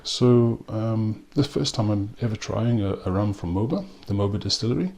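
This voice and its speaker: A man from South Africa with a South African accent, reviewing a rum in a relaxed way with frequent pauses and ums. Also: fairly low pitch, creaky. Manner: unhurried, with frequent ums and restarts. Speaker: spirits reviewer. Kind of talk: casual spoken tasting review.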